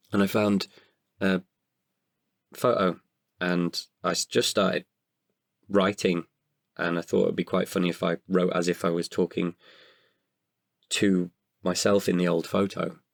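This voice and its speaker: A man with a deep voice that often drops into fry. He speaks in a reflective, personal interview style, breaking sentences with pauses as he goes. The recording is close and dry. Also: level tone, dry recording. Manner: halting, pausing mid-sentence. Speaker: actor and guest writer.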